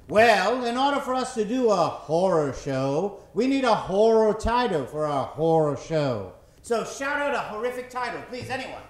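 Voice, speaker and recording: A male host works a live audience with theatrical, showman-like patter. His delivery swings widely in pitch, and his voice rings out in a very echoey theater as he runs an improv show.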